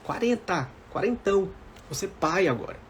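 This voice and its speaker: Animated, higher-pitched Brazilian man coaching. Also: normal speed, slight background noise. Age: about 40. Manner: emphatic, repeats key phrases, checks in with viewers. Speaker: mentor for civil service exam candidates. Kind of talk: motivational live-stream coaching, informal talk to viewers.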